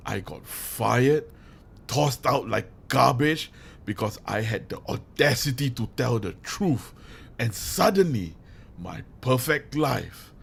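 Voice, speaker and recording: Man acting as grief-stricken male villain, audio drama, menacing, slow deliberate pauses, faint rumbling noise behind. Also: gravelly. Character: grieving, vengeful male villain hijacking a radio broadcast. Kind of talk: dramatic audio roleplay monologue.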